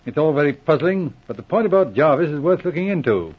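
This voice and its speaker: A shrewd police inspector on a dull vintage radio recording. His voice is husky with lively intonation, and he questions in a composed, authoritative manner. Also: a little high. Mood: probing. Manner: probing, measured, authoritative. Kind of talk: old-time radio drama acting. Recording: muffled old 1940s radio recording.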